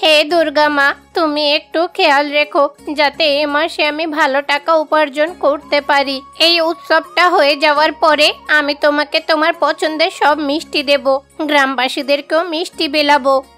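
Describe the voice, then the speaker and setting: A woman's voice: sits high, fairly even intonation, moderate pace, fairly bright tone, soft background music. A woman voicing a humble, devout older village bangle seller in a fairy-tale cartoon. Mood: prayerful.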